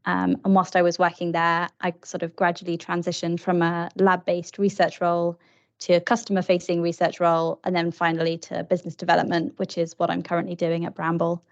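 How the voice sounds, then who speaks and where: A woman in her twenties, a panel guest who talks hesitantly and fills pauses with many ums. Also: on the low side, completely flat delivery. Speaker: panel guest, customer solutions manager. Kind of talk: casual panel talk. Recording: dull lo-fi sound.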